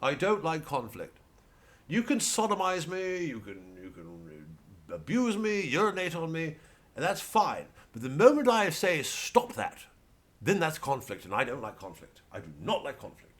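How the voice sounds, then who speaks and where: A man acts out a spineless male comic in a satirical monologue. The voice is raised in pitch, whining and pleading with exaggerated meekness. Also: huge pitch swings, normal speed. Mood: whiny, pleading. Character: whiny spineless male comedian begging to avoid conflict.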